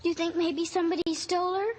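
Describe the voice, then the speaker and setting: A woman's voice: very high voice, little pitch movement, quick pace, darkish tone. A woman acting an earnest, anxious little girl in an old-time radio drama, plaintive and pleading. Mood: stubborn, sad. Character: earnest, anxious little girl pining for her lost dog. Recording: noisy vintage radio drama recording.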